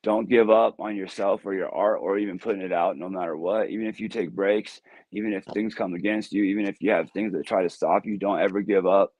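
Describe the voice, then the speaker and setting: A man with a deep, low voice talks about his music in a casual, rambling way. He answers easygoing and laughs easily. The sound is dry and close, with no room echo. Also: steady tone, fairly quick, a little mellow, lo-fi sound. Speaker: music artist guest.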